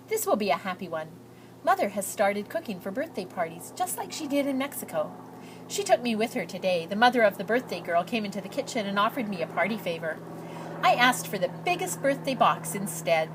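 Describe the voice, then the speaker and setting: A woman's voice: mid-range voice, animated, fairly quick, noisy background, natural voice. Earnest young girl read by a woman, lilting. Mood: earnest, hopeful. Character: earnest, shy, hopeful immigrant girl writing letters.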